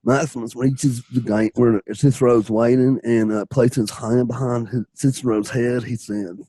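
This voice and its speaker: Mid-pitched man narrating stage directions. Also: steady tone, normal speed, dark tone, no room sound, low-quality recording. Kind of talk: reading stage directions of a script.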